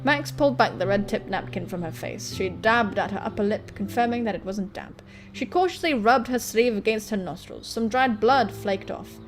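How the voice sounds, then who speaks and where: A woman's voice: medium pitch, normal speed, music in the background. A woman doing let's-play commentary, reading a visual novel aloud and joking crudely with plenty of swearing. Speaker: gaming video host.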